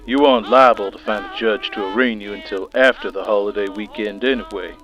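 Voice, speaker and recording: A man playing a smug, teasing treasury agent in an audio drama, with a sardonic, smooth-talking manner. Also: lowish voice, fairly quick, natural voice. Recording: dull, band-limited, music behind.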